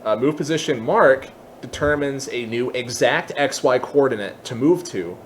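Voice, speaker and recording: A man presenting an informal software tutorial, thinking aloud and openly admitting when he is unsure of details. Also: medium pitch, slight background noise.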